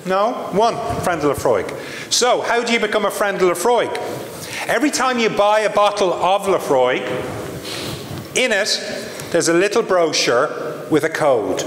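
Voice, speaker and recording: A man from Ireland with an Irish accent, giving a lively live sales presentation to an audience with chatty asides. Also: sits high, a little slow, slight background noise. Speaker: brand ambassador presenter. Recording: echoey hall from far off.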